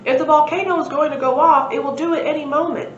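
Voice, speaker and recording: A woman voicing a brisk, slightly pompous male stegosaurus professor in a storybook read-aloud, recorded in a roomy space. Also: sits high, steady tone, voice pushed up, close to an everyday voice. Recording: roomy, dull lo-fi, faint noise.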